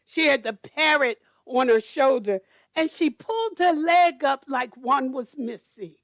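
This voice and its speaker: A woman storyteller reading aloud to children at church, deliberately, with explanatory asides. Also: high voice, a bit bright, no echo, clean studio sound. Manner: deliberate, explains details to young listeners.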